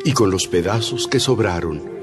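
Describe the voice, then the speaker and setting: A man narrating a dramatized audio Bible from a script, with expressive storytelling emphasis. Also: low voice, normal speed. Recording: loud background music. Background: music.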